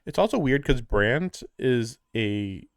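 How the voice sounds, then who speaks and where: A man's voice: mid-range voice, animated, slow, dry recording. A man doing casual livestream commentary on a game, with skeptical, offhand asides, close on the microphone.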